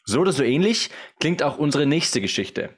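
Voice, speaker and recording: A man's voice: a little high, sing-song, moderate pace. A man hosting a podcast, reading an upbeat intro and asking questions in a friendly, casual manner, laughing along with his guest. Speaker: podcast host.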